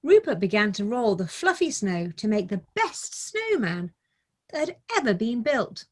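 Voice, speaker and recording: A woman's voice: a little high, big pitch swings, a little slow, darkish tone. A middle-aged woman who is a children's author, telling and reading a story for young listeners. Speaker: guest author reading her children's book. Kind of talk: casual storytelling, then read-aloud of a picture book.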